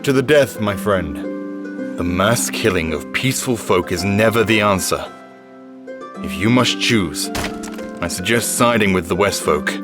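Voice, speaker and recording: A man's voice: lowish voice, measured pace, music in the background, natural voice. A man playing a devout, honorable knight companion in a fantasy game, solemn and courtly. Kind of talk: fantasy video game acting.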